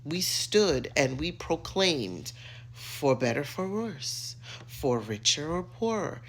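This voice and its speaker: A middle-aged American woman giving an opinionated rant to camera, emphatic, sassy and theatrical, with dramatic pauses and drawn-out words. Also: low-pitched, very animated, poor audio. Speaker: social media commentator.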